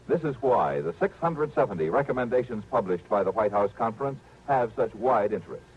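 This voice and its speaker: A man's voice: mid-range voice, a little hiss or hum. A man with an American accent announcing formally and emphatically in the scripted style of mid-century radio. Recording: muffled vintage radio recording.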